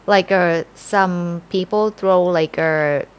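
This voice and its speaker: A woman's voice: lowish voice, steady tone, slow, smooth. Casting: female Thai livestream co-host, Thai accent, casual, simple second-language phrasing with searching explanations. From Thailand.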